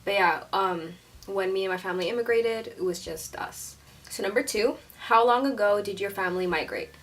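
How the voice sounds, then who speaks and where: A woman's voice: medium pitch, normal speed, fairly bright tone. Chatty young woman, slightly distant mic. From Syria. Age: 20s. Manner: chatty, says like a lot, laughs often. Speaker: YouTuber. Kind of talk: casual vlog chat.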